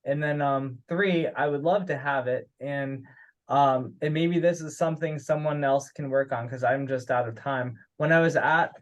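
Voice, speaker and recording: A man's voice: medium pitch, fairly even intonation, moderate pace. Man on a dull, lo-fi conference call. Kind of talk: casual conference call discussion. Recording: dull, lo-fi teleconference audio.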